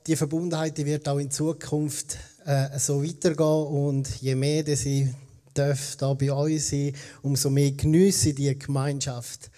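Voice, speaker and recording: A man's voice: a little high, little pitch movement, unhurried, dark tone. A Swiss German man with a Swiss accent, preaching a sermon as a guest pastor in standard German, telling stories conversationally and correcting himself as he goes. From eastern Switzerland. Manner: conversational storytelling, self-correcting.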